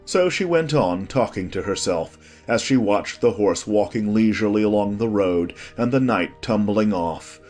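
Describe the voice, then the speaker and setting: Low-voiced man narrating a storybook. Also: darkish tone.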